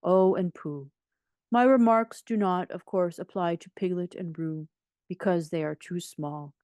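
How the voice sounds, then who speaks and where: A woman's voice: lowish voice, a little slow, a little mellow, clear, resonant tone, no echo, studio quality, lightly acted. A woman voicing a gloomy, pompous male donkey from a children's storybook, speaking in a ponderous, mournful, self-important way. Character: gloomy, pompous, long-suffering old toy donkey, male.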